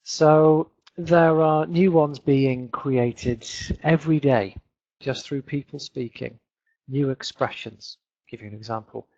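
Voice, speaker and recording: Leeds guy teaching english online, slow and a bit gravelly, lots of ums, dull lo-fi webinar sound. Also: medium pitch, darkish tone, no room sound. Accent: Northern English, Leeds. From England. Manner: chatty, repeats words, many fillers.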